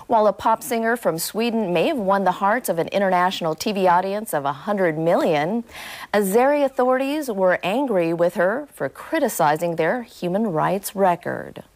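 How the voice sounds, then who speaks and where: A woman reading scripted news stories as a news anchor. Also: medium pitch. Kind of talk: scripted newsreel narration.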